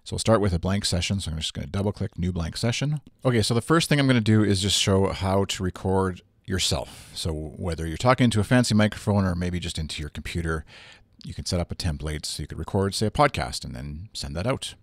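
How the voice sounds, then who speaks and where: A young man with a bassy voice, talking through a software tutorial in a relaxed way with plenty of fillers, on a studio microphone. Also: fast talker, no echo. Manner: relaxed explaining with frequent fillers. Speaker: tutorial presenter. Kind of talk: casual screen-recorded software tutorial. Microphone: studio microphone through USB interface.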